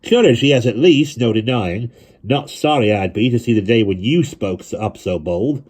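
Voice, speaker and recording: A man's voice: medium pitch, lively intonation, normal speed, darkish tone, slightly rough, pitched above the performer's own voice. A man doing a burly Welsh innkeeper. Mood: firm. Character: burly, fair-minded male village innkeeper.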